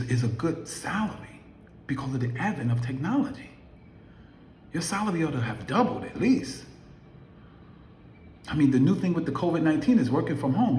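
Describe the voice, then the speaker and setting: A man's voice: medium pitch, mellow, slight background noise. Emphatic man in his fifties, echoey room. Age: 50s. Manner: emphatic, preachy, rhetorical questions. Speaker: affiliate marketer vlogger. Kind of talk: motivational talk to camera.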